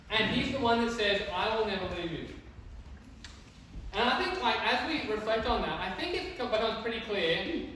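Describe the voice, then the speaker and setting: High-voiced man in a very echoey hall. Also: bright, forceful tone. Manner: conversational, addressing the group with questions. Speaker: youth group leader. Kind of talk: informal youth talk with scripture reading. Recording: very echoey hall, distant mic, faint noise.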